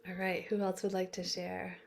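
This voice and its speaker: A woman from Hawaii leading an online class call, gentle and affirming, laughing easily. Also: lowish voice, completely flat delivery, moderate pace, gravelly, slight background noise. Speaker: course teacher. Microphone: video call.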